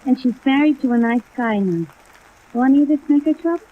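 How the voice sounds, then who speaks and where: A sassy, guarded showgirl played by an actress from a vintage radio play. Her voice is high and her talk slangy and streetwise. The old recording is thin and tinny with faint noise. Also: fairly quick, natural voice. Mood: confiding. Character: streetwise, guarded showgirl, tough but loyal. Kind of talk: 1950s radio drama acting.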